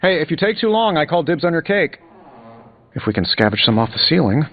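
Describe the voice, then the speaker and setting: An actor playing a cocky billionaire inventor superhero in a video game, glib and sarcastic with playful quips. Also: male voice, fairly high pitch, sing-song, a little fast, dull audio, slight background noise. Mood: joking. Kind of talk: video game character dialogue, quippy banter. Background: music.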